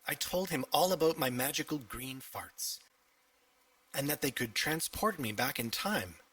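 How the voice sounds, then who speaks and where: A man reading a children's audiobook as a cheeky, excitable young boy, with animated, emphatic delivery. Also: medium pitch, a little slow, breathy edge, far from the mic, full character voice. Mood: impressed. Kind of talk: first-person children's audiobook storytelling.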